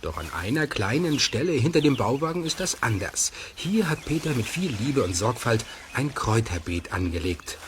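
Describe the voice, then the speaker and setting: A man playing the narrator of a children's radio play, telling the story in a measured, inquisitive way. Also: mid-range voice, very animated, natural voice. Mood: measured, intrigued. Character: male storyteller of a children's tale. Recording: noisy cassette transfer of radio play.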